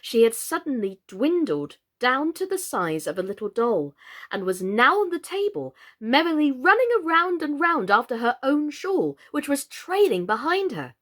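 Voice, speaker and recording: Female storyteller, high voice with big swings in pitch, expressive narration for children. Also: normal speed. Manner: theatrical, expressive. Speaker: storyteller, actress.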